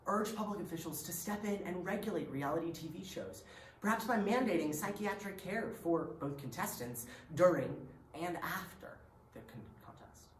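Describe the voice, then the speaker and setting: A woman's voice: on the low side, expressive, normal speed, close to an everyday voice. A woman performing a serious, formal advocate urging reform in a readers theatre recitation. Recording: distant, echoey stage with faint noise.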